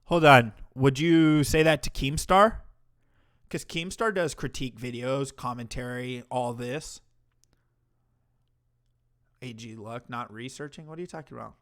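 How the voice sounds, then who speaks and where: A man's voice: mid-range voice, unhurried, pauses often, a bit bright. A man hosting a livestream, reacting to a clip with mocking, profane commentary. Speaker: commentary streamer host.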